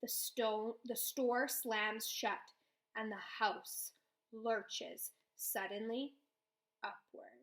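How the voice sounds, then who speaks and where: A woman reading a children's novel aloud, with expressive first-person storytelling. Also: fairly high pitch, very slow. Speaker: read-aloud host.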